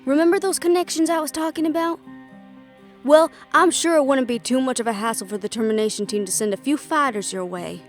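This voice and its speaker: A woman's voice: high-pitched, a little fast, faint music behind, lightly acted. A woman playing a defiant, fiercely independent half-fae daughter, blunt and sarcastic, in a fantasy audio drama. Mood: smug bargaining. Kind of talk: fantasy audio roleplay acting.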